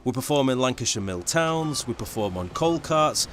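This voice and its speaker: An actor playing an earnest, good-humoured young working-class political songwriter, in a radio play. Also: male voice, medium pitch, animated, moderate pace, a bit bright, lightly acted. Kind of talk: radio play acting and narration.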